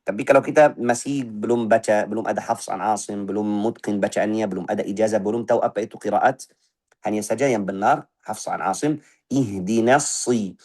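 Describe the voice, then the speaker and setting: A man teaching Quran recitation, correcting pronunciation by voicing the wrong and right sounds in turn and repeating short syllables slowly. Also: lowish voice, darkish tone. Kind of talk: tajwid lesson with spoken recitation examples.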